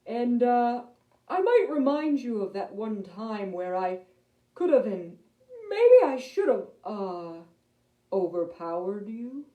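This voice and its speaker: A woman voicing a proud, boastful male lion who talks in a pompous, halting way. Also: fairly high pitch, very animated, dark tone, roomy sound, far from the mic, muffled recording. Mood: proud, embarrassed.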